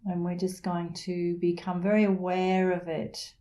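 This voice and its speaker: Slow, mellow woman guiding meditation. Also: on the low side, level tone. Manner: soothing, unhurried, gentle pauses. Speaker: meditation instructor. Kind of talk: guided meditation.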